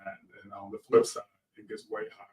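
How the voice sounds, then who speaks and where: A man's voice: fairly low pitch, wildly swooping pitch, fast, darkish tone, far from the mic. A man from Arkansas answering interview questions casually as a musician guest, polite, understated and a little hesitant. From Arkansas, southern United States. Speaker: guest musician, gospel singer and organist.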